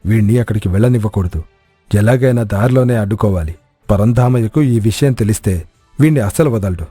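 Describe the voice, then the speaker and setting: A man's voice: fairly low pitch, brisk, mellow, slightly rough, no room sound, faint music behind. A man playing a jealous, boastful village cook with a scornful, grudging manner in a moral story. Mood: scheming. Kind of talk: acted moral story dialogue.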